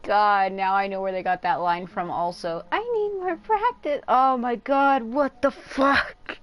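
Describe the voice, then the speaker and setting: A woman's voice: a little high, unhurried. A woman livestreaming a game with casual, playful and sarcastic commentary. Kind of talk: casual livestream commentary, reading dialogue aloud. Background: game music.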